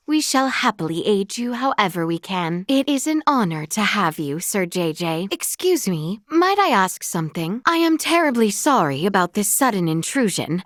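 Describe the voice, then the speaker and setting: A woman's voice: mid-range voice, dry recording, studio quality, close to an everyday voice. A woman voicing a gracious, earnest young royal lady in a fantasy video game, speaking in formal, courtly, old-fashioned phrasing. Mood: polite, composed. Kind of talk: scripted video game voice acting.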